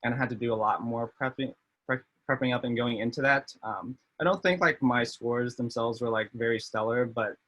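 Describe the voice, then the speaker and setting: A man's voice: medium pitch, brisk, fairly bright tone. A young man in his twenties from Maryland, a medical student on a panel, talking loosely with many fillers and restarts over a video call. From Maryland, USA. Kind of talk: casual panel talk.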